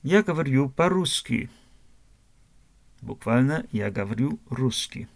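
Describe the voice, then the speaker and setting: Guy teaching a lesson super slowly, spells everything out letter by letter. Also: medium pitch, expressive, gravelly. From Germany. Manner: spells words letter by letter, repeats phrases slowly. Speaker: language teacher. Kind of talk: slow spelled-out language lesson.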